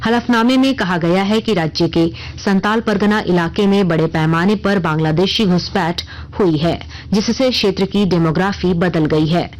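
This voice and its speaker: A woman presenting a scripted radio news bulletin in a formal, measured manner. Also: lowish voice, a little mellow, poor audio.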